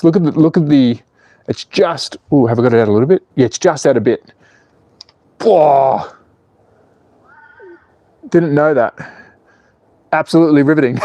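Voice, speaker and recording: A man's voice: fairly high pitch, a little mellow, no echo. A man in his forties with an Australian accent, hosting a casual slot car livestream, cheeky and full of swearing while he reads out chat and works on a car. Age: 40s. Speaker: slot car channel host.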